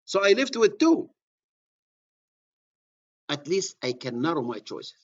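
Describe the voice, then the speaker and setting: Middle-aged male engineering instructor, Egyptian accent, slightly higher pitch, husky, dull lo-fi recording. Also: big pitch swings, slow. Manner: repeats key words, restarts phrases. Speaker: surveying instructor. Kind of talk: classroom lecture.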